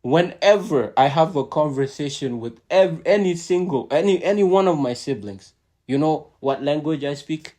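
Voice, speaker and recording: A man's voice: a little high, expressive, normal speed, darkish tone. A man hosting a podcast who grew up speaking a language other than English or French. He talks in an earnest, casual way, repeats words often and keeps asking "right?". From immigrant to Canada. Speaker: podcast host.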